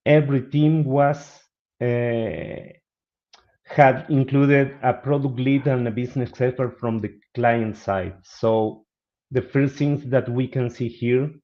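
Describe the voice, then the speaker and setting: A middle-aged man from Argentina with an Argentine Spanish accent, presenting as a guest speaker in a webinar. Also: medium pitch. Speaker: guest speaker, business analyst. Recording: dull lo-fi online call audio.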